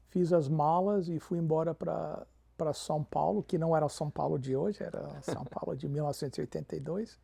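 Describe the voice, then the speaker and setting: Gravelly pastor, warm tone. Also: male voice, a little high. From Canada. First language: English. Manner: peppers Portuguese with English fillers. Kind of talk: interview storytelling.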